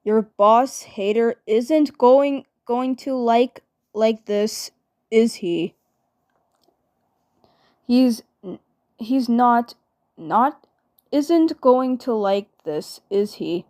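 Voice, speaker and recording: A woman voicing a skeptical, worried twin boy from a cartoon fan dub, halting and repeating words. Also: on the high side, a little mellow. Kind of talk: amateur fan dub acting.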